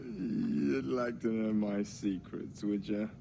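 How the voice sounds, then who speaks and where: An actor playing a teasing, lewd and flamboyant pirate rogue in a video game scene. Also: male voice, lowish voice, slow pace, vocal fry, warm tone, a little hiss or hum. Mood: flirtatious. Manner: teasing, swaggering. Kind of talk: dramatic game cutscene acting.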